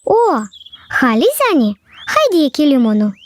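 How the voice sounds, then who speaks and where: A small, outspoken cartoon child with a high, swooping voice. The delivery is blunt and questioning, over clearly audible music. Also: female voice, huge pitch swings, normal speed. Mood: eager. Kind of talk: animated cartoon acting.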